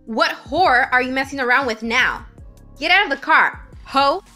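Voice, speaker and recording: Shrill, jealous aggressor. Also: female voice, expressive, moderate pace, sharp tone, soft background music. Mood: furious, jealous. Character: jealous, aggressive woman shrieking confrontations. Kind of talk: modern audio drama acting.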